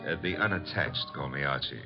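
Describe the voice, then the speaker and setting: Radio drama lead: wisecracking flirt of an American detective, deep-pitched, easygoing patter, dull vintage recording. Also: male voice, expressive, brisk, gravelly, loud music behind the voice, full character voice. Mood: breezy, flirtatious. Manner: breezy, glib. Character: wisecracking, flirtatious male private detective's legman. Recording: muffled old radio recording.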